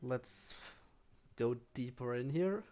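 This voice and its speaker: A man's voice: medium pitch, animated, slow, mellow. A man streaming a game with casual live commentary, making wry asides and talking to himself.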